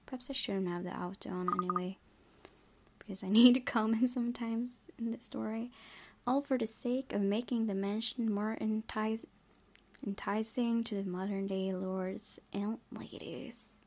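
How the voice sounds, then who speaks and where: A woman's voice: mid-range voice, fairly even intonation, moderate pace. A woman reading a game's story aloud and adding casual commentary as she plays. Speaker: gaming video creator. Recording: loud background noise, game ambience.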